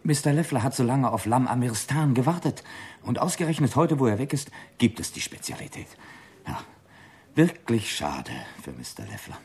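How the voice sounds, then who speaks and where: An actor playing a polite, wry, self-effacing gentleman diner in a 1960s German radio drama. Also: medium pitch, big pitch swings, normal speed, husky. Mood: hesitant, regretful.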